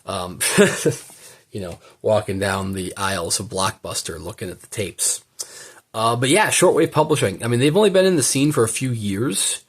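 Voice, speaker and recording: A man's voice: fairly low pitch, normal speed, slightly rough. A man giving a casual unboxing commentary, enthusiastic and rambling as he thinks aloud. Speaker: book collector presenting an unboxing.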